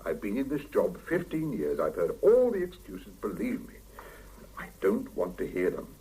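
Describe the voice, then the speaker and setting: Stern, husky, low boss. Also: male voice, wildly swooping pitch, moderate pace, warm tone, heavily acted. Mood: measured, formal. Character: stern middle-aged male laboratory boss, brisk and exacting. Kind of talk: 1970s British radio drama acting. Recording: muffled 1975 radio drama cassette recording.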